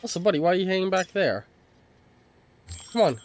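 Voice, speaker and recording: A man's voice: high-pitched, sing-song, brisk, slight background noise. A stuffy-nosed man with a cold, playing a game and giving casual, wry commentary while expecting things to go wrong. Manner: wry, profane, anticipating trouble. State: congested from a cold. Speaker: streamer playing a video game.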